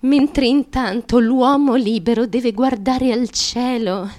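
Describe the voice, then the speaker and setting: An actress playing a small, fragile, fiercely driven young woman in a theatrical monologue, childlike, halting and insistent, with repetitive phrasing. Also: a little high, a little mellow. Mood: confiding, strained. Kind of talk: theatrical stream-of-consciousness radio monologue.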